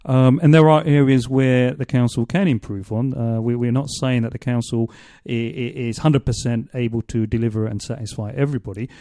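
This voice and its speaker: A male radio host leads a studio interview, his questions long and halting, circling back with restarts before landing. His voice is warm and mellow, at an ordinary pitch for a man. The sound is close and dry, with no room echo. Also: steady tone.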